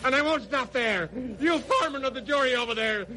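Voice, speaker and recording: An actor playing a defiant condemned gangster in an old radio crime drama, spitting slangy, menacing threats. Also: male voice, very high-pitched, expressive, fairly quick, sharp tone, full character voice, rough recording. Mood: vengeful rage. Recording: vintage radio drama recording.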